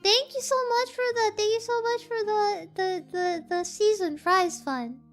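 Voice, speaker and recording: A woman streamer with a soft voice, chatting casually and reading viewer messages, giggling often and tripping over repeated words. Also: very high-pitched, a little slow, soft background music.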